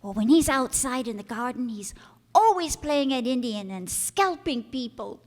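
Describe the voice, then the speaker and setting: An innocent young girl from an old-time radio drama, played by a woman. Her voice is high, and she speaks with earnest, trusting frankness and gentle chiding. A long hall reverb surrounds her. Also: lively intonation, normal speed, natural voice. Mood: gently chiding.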